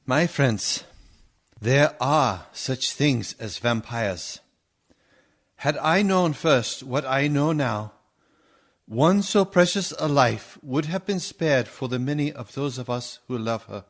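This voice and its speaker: Man acting slow, solemn old vampire-hunting professor. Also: mid-range voice, lively intonation. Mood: grave, warning. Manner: solemn, measured, oratorical, stilted foreign phrasing. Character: grave, commanding older male professor and vampire hunter. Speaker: actor in a radio play. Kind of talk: theatrical radio drama acting.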